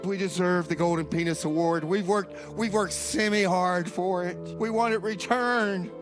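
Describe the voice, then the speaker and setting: A man's voice: high voice, steady tone, moderate pace, lightly acted. A man playing an aggrieved, melodramatic official making a pompous public plea in radio comedy. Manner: pompous, oratorical, pleading. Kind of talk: comedy radio theater speech. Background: music.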